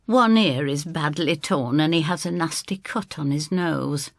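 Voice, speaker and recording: A woman reading a dramatic first-person story in an expressive, theatrical way. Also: low voice, measured pace, dry recording, studio quality. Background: music.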